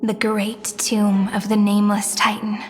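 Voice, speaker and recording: An actress playing a gentle, wistful young woman in a game cutscene, speaking softly and earnestly. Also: medium pitch, monotone, unhurried, slight background noise, lightly acted. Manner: soft, earnest and reflective. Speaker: game character. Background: soft game score.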